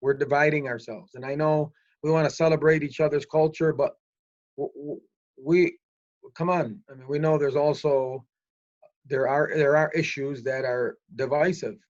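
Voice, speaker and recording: An older man speaking forcefully in a panel discussion, repeating himself to press his point. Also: steady tone, moderate pace. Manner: forceful, repetitive opinionated talk. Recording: muffled low-fidelity recording.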